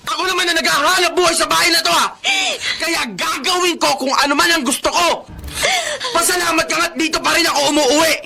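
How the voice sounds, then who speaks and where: A man's voice: extremely high pitch, fairly even intonation, fast, gravelly. A man with a Filipino accent acting an arrogant, bullying, hot-tempered husband in a radio drama. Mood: angry, defiant. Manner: boastful, bullying.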